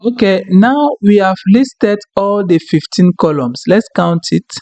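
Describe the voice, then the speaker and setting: A man whose first language is French, walking viewers through a software tutorial and checking in often with "okay?" and "right?". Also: high voice, animated, unhurried, no echo, lo-fi sound. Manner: explanatory, frequent "okay?" and "right?" check-ins. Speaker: tutorial instructor. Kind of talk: screen-recorded software tutorial.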